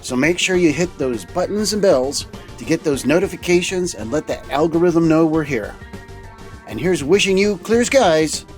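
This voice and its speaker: Slightly high male vlog host over music. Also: animated, normal speed. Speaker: video host playing a trucker captain. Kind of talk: semi-scripted vlog narration.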